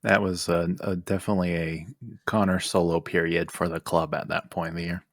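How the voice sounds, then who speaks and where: Male co-host, low register, relaxed conversational banter, no room sound. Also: a little fast, no room sound, clean studio sound. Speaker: podcast co-host. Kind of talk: casual banter and opinion.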